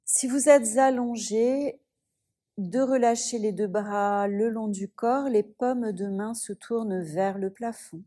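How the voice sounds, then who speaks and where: A woman yoga teacher guiding a yoga nidra relaxation, speaking softly and soothingly with long pauses. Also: on the high side.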